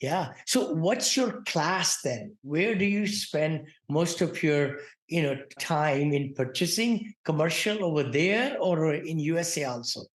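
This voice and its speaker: A man's voice: fairly high pitch, animated, slow pace, hardly any pauses, reverberant. A man hosting a podcast interview in a casual, chatty way, leaning on "you know" fillers. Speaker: podcast host. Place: home in the Bay Area.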